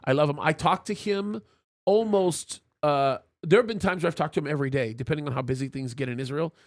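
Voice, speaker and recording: A man hosting a live stream, chatting casually and reading out viewer messages, animated and quick to laugh, often restarting his sentences. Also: a little high. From Egypt. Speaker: host of a live stream show.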